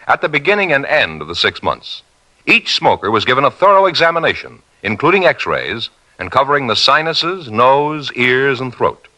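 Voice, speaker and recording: Old timey radio ad guy, bright voice, big swings in pitch, emphatic and formal. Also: sing-song, normal speed. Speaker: commercial announcer. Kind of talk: 1950s radio advertising read. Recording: 1950s radio broadcast recording.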